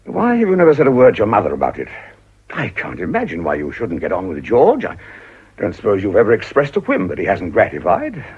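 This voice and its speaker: A blustering, middle-aged English father of the upper class in a period radio drama. His voice is very husky and fairly low, with big swings of intonation. The recording is dull and lo-fi. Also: wildly swooping pitch, a little fast, a little mellow, exaggerated voice. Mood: stern, pompous.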